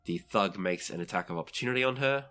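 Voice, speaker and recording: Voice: low voice, animated, fast, a bit bright. A game master narrating a tabletop role-playing game, describing the action in vivid, dramatic detail.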